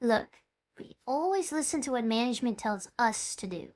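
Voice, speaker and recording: A woman playing a defiant, forceful young female online star rallying her colleagues, in dramatic dialogue. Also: fairly high pitch, animated, moderate pace, close to an everyday voice. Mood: firm, rallying.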